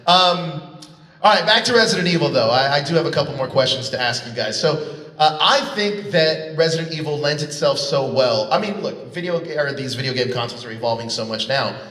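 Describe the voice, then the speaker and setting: A man's voice: fairly high pitch, animated, brisk, fairly bright tone. A man moderating a live panel interview in a chatty, informal way. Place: large convention hall. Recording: echoey hall, distant PA sound.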